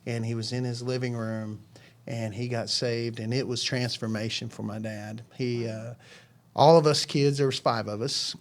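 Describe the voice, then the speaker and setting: A man in his forties speaks as a guest on a church podcast. He talks earnestly and at length, circling back and restarting his sentences as he tells stories about his life and faith. His voice sits at an ordinary middle pitch. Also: fairly even intonation, moderate pace. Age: 40s. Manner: earnest, rambling storytelling with many restarts. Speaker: ministry founder, podcast guest. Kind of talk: casual chat.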